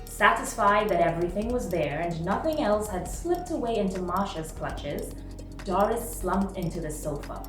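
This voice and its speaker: A woman reading a short story aloud in a dramatic, expressive narrating style. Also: mid-range voice, normal speed. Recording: roomy, distant mic, music behind. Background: music.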